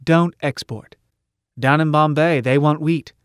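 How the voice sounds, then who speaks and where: Man playing a fiery female revolutionary orator, pitched up. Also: steady tone, measured pace, smooth, no room sound, studio quality, close to an everyday voice. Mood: rousing, urgent. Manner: emphatic, clipped slogans.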